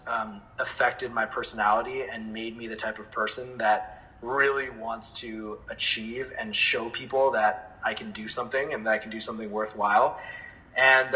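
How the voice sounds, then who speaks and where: A man with a bright, clear-edged voice talks in a reflective interview. His pitch is average for a man. He sounds earnest and open, choosing his words with some hesitation. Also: poor audio. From born in America to Indian parents, grew up in several countries. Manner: earnest, candid, hesitant with frequent throat clearing. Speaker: interview guest, dance music producer.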